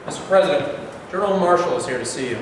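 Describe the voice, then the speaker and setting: A man's voice: on the high side, expressive, very fast, lightly acted. A man playing a deferential presidential aide in a staged play reading, recorded in an echoey hall. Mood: deferential, urgent. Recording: echoey hall, clear noise.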